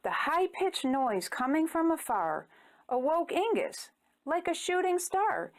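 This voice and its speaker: A woman reading a rhyming children's story aloud in an expressive, deliberate, theatrical way. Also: very high voice. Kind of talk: rhyming picture-book read-aloud.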